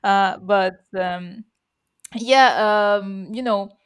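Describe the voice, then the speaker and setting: A woman's voice: mid-range voice, drawn-out words, clear, resonant tone. A woman co-hosting a podcast, born bilingual with Russian as one first language, talking casually with lots of fillers, restarts and laughter. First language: Russian, bilingual from birth. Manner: chatty, many fillers and restarts, laughs often. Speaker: podcast co-host.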